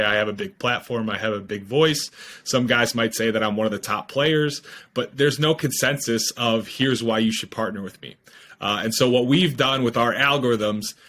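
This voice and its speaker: A man's voice: mid-range voice, bright, forceful tone. A young man in his twenties, a startup founder, explaining eagerly in a casual podcast interview over a video call with a headset, with many fillers. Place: home room, video call.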